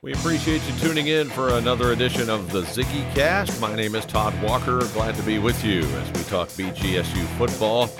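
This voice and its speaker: A man hosting a sports show, delivering a scripted recap in an announcer's style. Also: on the low side. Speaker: sports podcast host and football play-by-play announcer. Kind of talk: scripted recap and live play-by-play commentary.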